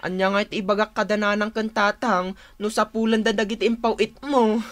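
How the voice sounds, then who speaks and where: A woman's voice: mid-range voice, flat delivery, fairly quick, close to an everyday voice. A woman playing an exasperated younger sibling who keeps house in a rural family, in a radio drama with an Ilocano accent. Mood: distressed.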